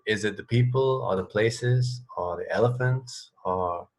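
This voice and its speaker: A man's voice: on the low side, measured pace. A man hosting a casual radio interview, talking in a thoughtful, hesitant and rambling way.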